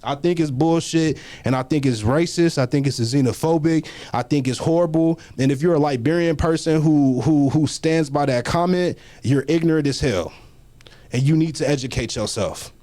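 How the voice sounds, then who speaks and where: A man's voice: on the high side, moderate pace, warm tone. A man on a casual studio panel, speaking bluntly with heat, repeating himself and dropping into slang. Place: community media studio.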